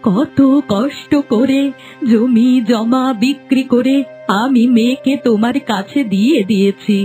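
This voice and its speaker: A woman playing a frail, devoted old village mother in a cartoon, speaking in a slow, drawn-out, plaintive way. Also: a little high, dark tone, natural voice. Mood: aggrieved, pleading. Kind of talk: melodramatic cartoon acting. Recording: music bed under voice.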